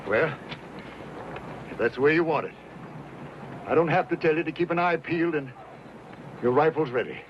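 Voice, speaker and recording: An actor playing an alert wagon-train scout in a western radio drama, with rustic frontier phrasing. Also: male voice, a little high, moderate pace, pauses often, slight background noise, lightly acted. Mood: brisk, wary. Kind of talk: old-time western radio drama acting. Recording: dull old radio recording with music.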